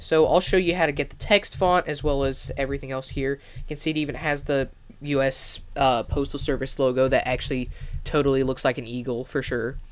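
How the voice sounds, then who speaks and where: A man's voice: mid-range voice, fairly even intonation, fairly quick. A young man with a stuffy, congested voice talks casually through a building tutorial, with lots of fillers and restarts. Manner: rambling, many fillers and restarts. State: congested, nasal. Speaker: Minecraft build tutorial creator. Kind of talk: casual gaming tutorial voice-over.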